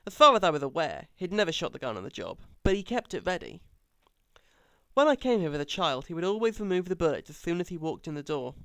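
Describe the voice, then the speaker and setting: Sarcastic, very animated male audiobook reader. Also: fairly high pitch, quick pace, clear, resonant tone, no echo. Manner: mocking, exaggerated emphasis.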